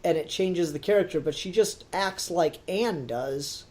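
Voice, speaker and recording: A man's voice: fairly high pitch, unhurried. A man hosting a podcast in a casual, rambling review style with a wry, sarcastic edge. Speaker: podcast host.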